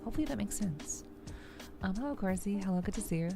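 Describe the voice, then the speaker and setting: A woman hosting a soft-spoken ASMR game livestream, chatting to viewers and explaining things in a gentle, rambling way. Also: mid-range voice, animated, fast, gravelly. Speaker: streamer, host of a weekly live show. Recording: music playing behind the voice. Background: background music.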